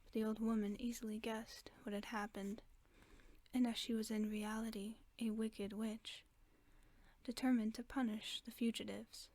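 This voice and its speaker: A woman reading a fairy tale aloud as a sleep aid, in a soft, mostly unvoiced whisper close to the microphone. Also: on the high side, little pitch movement, normal speed, no room sound. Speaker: ASMR storyteller. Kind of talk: whispered ASMR bedtime story reading.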